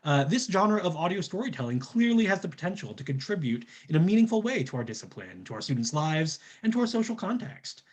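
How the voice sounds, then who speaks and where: A man's voice: fairly high pitch, very animated, fast talker, hardly any pauses. A man giving a scripted academic presentation as a researcher, in an earnest, formal scholarly manner.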